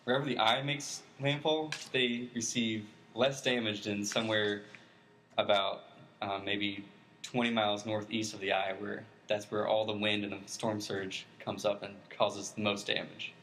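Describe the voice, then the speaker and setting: Male interview guest, fairly low voice, informal expert explainer, plain-spoken delivery. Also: little pitch movement, moderate pace, fairly bright tone, a little hiss or hum, poor audio.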